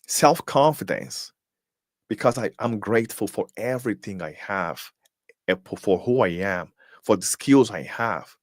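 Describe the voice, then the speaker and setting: Emphatic low-voiced man, lively delivery. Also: a little slow, no echo, studio quality. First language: Portuguese. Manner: emphatic, fires rhetorical questions, repeats words while searching. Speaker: motivational speaker and host. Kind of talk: live-streamed motivational talk.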